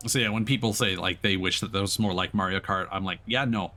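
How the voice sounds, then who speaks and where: A man's voice: low-pitched, quick pace, sharp tone. A man streaming a game with casual, informal, sweary live commentary. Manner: informal, sweary, self-critical. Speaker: streamer, VTuber.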